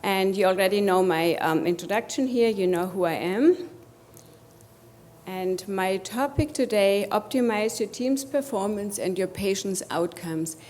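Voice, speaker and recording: A woman's voice: medium pitch, a little slow, a little mellow. A woman from Germany with a German accent, giving a motivational conference presentation and putting rhetorical questions to the audience. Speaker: conference speaker.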